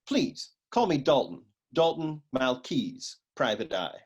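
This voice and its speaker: An actor playing a wisecracking hardboiled private eye in a comic noir radio serial, with deadpan, slangy tough-guy talk. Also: mid-range voice, takes it slowly, slightly rough, dull audio, heavily acted. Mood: smooth, reassuring.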